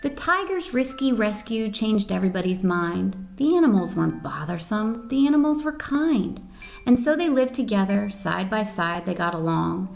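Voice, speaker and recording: Expressive woman reading a children's picture book. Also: mid-range voice, normal speed, vocal fry, soft background music. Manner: expressive, dramatic reading with questions to young listeners. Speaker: volunteer storyteller.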